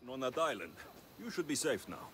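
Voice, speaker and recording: Rugged greek mercenary for a game, greek accent, dry and confident, voice moves around a lot. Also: male voice, medium pitch, very animated, fairly quick, a bit bright, a little hiss or hum. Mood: firm.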